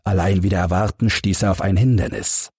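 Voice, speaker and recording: Man, deep register, dry close sound, careful audiobook storytelling, husky. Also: steady tone, fairly quick, a little mellow, dry recording. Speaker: audiobook narrator. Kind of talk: scripted narration of a detective story.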